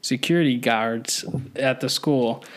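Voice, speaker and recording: A man in his twenties, originally from Somalia and a native Somali speaker, recounts his story as a podcast guest. He talks in an easygoing, rambling way, full of ums and likes, at a middle pitch for a man. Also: a little slow. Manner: easygoing storytelling, frequent fillers. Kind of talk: casual podcast conversation.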